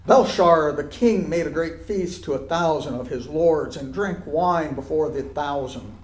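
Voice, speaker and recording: A man reading scripture aloud in a solemn style, with deliberate, declamatory phrasing. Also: on the high side. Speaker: scripture reader. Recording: echoey, roomy sound.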